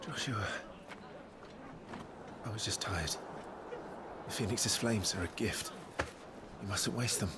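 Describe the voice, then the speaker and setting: Low-voiced, earnest young noble swordsman. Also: slow, pauses often, very noisy. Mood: gently concerned. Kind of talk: fantasy video game acting.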